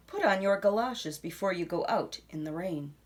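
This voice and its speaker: A woman reading a children's picture book aloud expressively, with dramatic pauses and hushed asides. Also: fairly low pitch, expressive.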